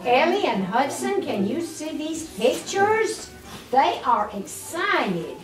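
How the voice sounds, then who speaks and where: Slow, expressive older woman reading a storybook. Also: high voice. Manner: expressive, deliberate, doting toward the children. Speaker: grandmother reading a children's story aloud. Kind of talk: storybook read-aloud with asides to children. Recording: echoey room, distant mic, music underneath.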